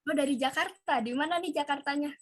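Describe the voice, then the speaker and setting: A young woman hosts a relaxed student interview with a slightly high voice. She sounds chatty and curious. The recording is dry, with no room sound. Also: fast talker, dry recording. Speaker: host.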